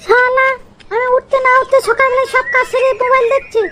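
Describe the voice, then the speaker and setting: A woman playing a bossy, scolding village wife in an amateur comedy cartoon, nagging and commanding through a squeaky pitched-up effect. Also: little pitch movement, quick pace, heavy background noise. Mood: irritated.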